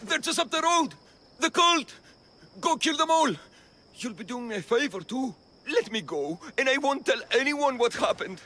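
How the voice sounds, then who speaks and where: An actor playing a nervous, self-serving male henchman in a video game cutscene, halting and bargaining. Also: extremely high pitch, expressive, sharp tone, full character voice. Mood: pleading.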